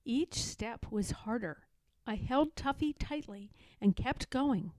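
A woman narrates a children's picture book with lots of expression. Her voice is on the high side and swoops widely, while her pace stays slow, with dramatic weight on key words. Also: expressive, darkish tone, no echo, low-quality recording. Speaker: storybook reader.

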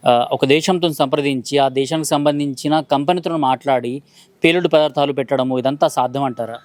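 A man's voice: mid-range voice, steady tone, a little fast, a little mellow, no room sound. A man interviewing in a conversational news discussion, speaking in a questioning way.

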